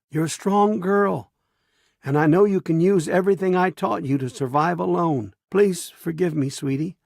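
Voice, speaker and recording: A man's voice: on the high side, gravelly, a little mellow, dry recording, clean studio sound. A man playing a wounded, dying father, tender and apologetic, in a video game audio log. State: wounded, weak. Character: dying, regretful father recording farewell to daughter.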